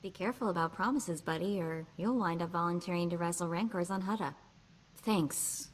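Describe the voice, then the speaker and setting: Actress doing a street smart young hacker girl for a game, breezy and wry, bit low, some background noise. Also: moderate pace, lightly acted. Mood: reflective. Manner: wry, breezy, clipped slangy talk. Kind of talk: video game voice acting.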